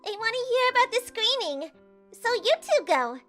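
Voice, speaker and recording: A woman's voice: very high voice, big pitch swings, moderate pace, fairly bright tone, faint music behind, exaggerated voice. A woman voicing a bold, pushy young girl in a romantic comedy manga dub. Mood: insistent.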